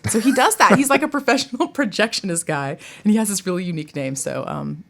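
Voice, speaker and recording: A middle-aged woman chatting casually as a podcast guest, informal, animated and given to exclamations. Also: medium pitch, quick pace, vocal fry. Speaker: podcast guest, college theater director. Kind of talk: casual chat.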